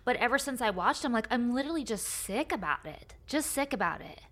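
A middle-aged woman co-hosting a chat podcast, her voice sitting a little high and sounding bright and forceful. She talks in long, animated run-on stretches, restarting her sentences. She laughs often. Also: a little fast. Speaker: podcast co-host. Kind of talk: casual chat recapping a reality show.

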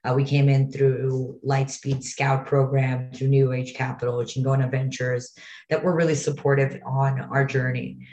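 A woman from Brazil, a startup founder, talking as an interview guest, quick and confident. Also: very deep voice, little pitch movement, warm tone, reverberant, dull audio. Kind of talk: interview conversation.

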